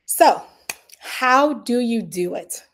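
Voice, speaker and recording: A woman's voice: on the high side, expressive, takes it slowly. A woman from the Dominican Republic giving an earnest, candid summit talk, with frequent fillers and restarts. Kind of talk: conversational online presentation.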